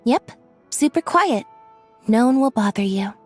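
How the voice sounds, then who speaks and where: A woman's voice: a little high, lively intonation, a little slow, soft background music. An actress playing a playful, coaxing young stage magician woman in a video game, speaking breezily.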